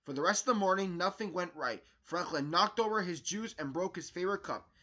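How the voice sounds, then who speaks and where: A man with a high-pitched, very bright and forceful voice reads a children's storybook aloud. His delivery is expressive and emphatic, like a host presenting a read-along. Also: brisk, dull audio.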